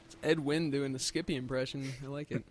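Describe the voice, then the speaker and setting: A man's voice: medium pitch, steady tone, a little fast, a little hiss or hum. A man giving casual, wry, joking commentary as he plays a video game. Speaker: let's play commentator.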